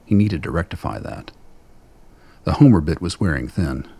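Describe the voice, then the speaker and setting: Very deep, gravelly male narrator. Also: expressive, normal speed, dark tone. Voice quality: gravelly, husky. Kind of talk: scripted dramatic story narration.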